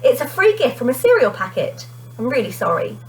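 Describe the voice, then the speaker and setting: A woman reading the part of a kindly, measured male schoolteacher in a storybook. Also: fairly high pitch, wildly swooping pitch, a little hiss or hum, rough recording. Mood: gentle, regretful.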